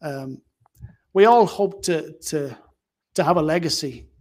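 Man, podcast interviewer, moderately high pitch, earnest, tentative phrasing, conversational. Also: unhurried, a little mellow.

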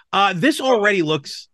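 A middle-aged man hosts a casual podcast chat, wandering through asides. His voice is very animated and a touch high. It is recorded close and dry, with almost no room sound. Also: no room sound. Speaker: podcast host.

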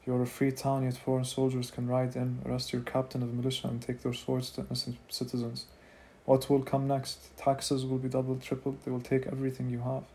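A man's voice: medium pitch, completely flat delivery, brisk, warm tone, close to an everyday voice. A man doing a rakish rebel leader, rousing. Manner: declamatory, rallying speech.

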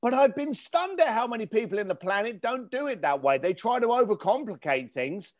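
A high-pitched man speaks as an interview guest, telling his story with quoted back-and-forths. He stresses points by repeating them. His pace is conversational. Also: big pitch swings, a little fast. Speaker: guest, author and business owner. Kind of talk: casual interview.

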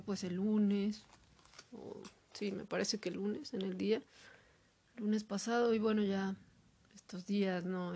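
Slow, soft-toned woman musing aloud. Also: mid-range voice. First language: Spanish. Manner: hesitant, reflective, many fillers and pauses. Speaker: podcaster. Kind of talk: personal monologue.

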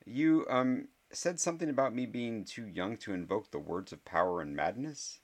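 A man's voice: lowish voice, lively intonation, moderate pace, voice pushed up. A man doing a nervous clever schoolboy. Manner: hesitant, stammering.

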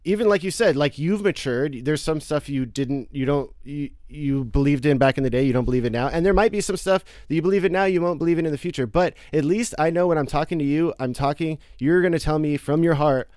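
Man, podcast guest, fairly high voice, reflective and casual, swears, dry close mic. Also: fast, few pauses, a little mellow, dry recording. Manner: reflective, casual, swears, often asks "you know what I mean?".

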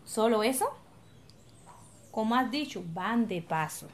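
A woman's voice: a little high, expressive, unhurried. A woman with a Colombian accent acting an encouraging, patient village leader in an educational radio drama, explaining things colloquially. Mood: questioning, explaining. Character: encouraging, patient woman leading her coastal village community.

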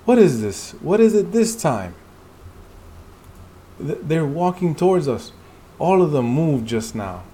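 A man's voice: a little high, sing-song, measured pace, pauses often, dark tone, voice pushed up. A man voicing anxious, wary dungeon hunters as he reads comic dialogue aloud dramatically. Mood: alarmed.

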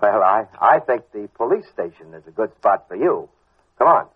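Casting: laid-back American private detective, lowish voice, wry and hesitant delivery, old muffled radio drama sound. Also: male voice, very animated, normal speed, husky, dry recording. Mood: concerned, insistent. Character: wry, easygoing male private detective narrating his case. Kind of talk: old-time radio detective drama acting and first-person narration. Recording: muffled vintage radio recording with music.

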